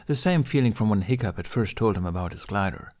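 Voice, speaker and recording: A man's voice: fairly low pitch, expressive, quick pace, a little mellow. A man reading a story aloud as scripted narration. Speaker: podfic reader.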